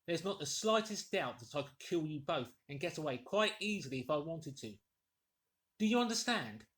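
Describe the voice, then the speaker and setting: An invisible man from a classic storybook, acted out by a male reader, menacing and in command. He gives curt, formal orders and threats in a slightly high male voice. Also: very animated, moderate pace, fairly bright tone.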